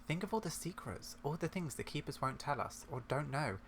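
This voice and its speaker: A man's voice: a little high, lively intonation, fairly quick. A man reading fantasy game quest dialogue aloud in a dramatized, storybook-like way. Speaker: gaming video creator. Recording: noticeable background noise.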